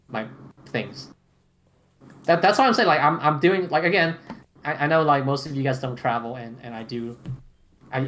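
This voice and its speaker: A man's voice: medium pitch, a bit bright. A man chatting casually as a podcast panelist, rambling emphatically in slangy, informal language. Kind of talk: casual roundtable chat. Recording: clearly noisy background ambience.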